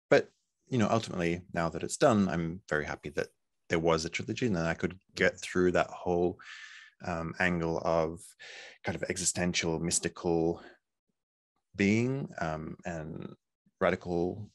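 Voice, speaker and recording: A man in his forties from Poland, talking over a video call in a hesitant, thoughtful way with many pauses. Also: low voice, no room sound. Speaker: artist. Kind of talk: casual interview. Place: home room, video call.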